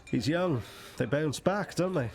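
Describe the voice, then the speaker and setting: An actor playing a friendly, reflective village pub customer in a radio soap. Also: male voice, fairly high pitch, lively intonation, a little slow, darkish tone. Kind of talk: radio soap opera acting.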